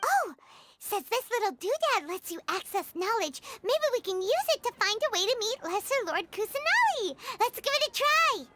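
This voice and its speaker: A woman voicing a tiny, excitable, childlike fairy companion girl in a video game, with a squeaky voice and an exclamatory manner. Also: moderate pace, very noisy. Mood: excited, curious. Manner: exclamatory, speaks of herself in third person.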